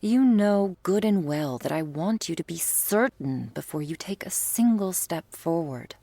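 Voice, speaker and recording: A woman playing a stern but devoted vampire lover in an ASMR roleplay, speaking in a formal, measured, affectionate way. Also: sits low, a little mellow, dry recording, exaggerated voice. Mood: stern, teasing.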